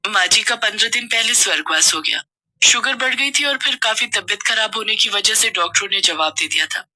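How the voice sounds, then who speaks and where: A woman playing a curt rich housewife, dismissive, bright voice, cartoon story. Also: medium pitch, lively intonation, a little fast.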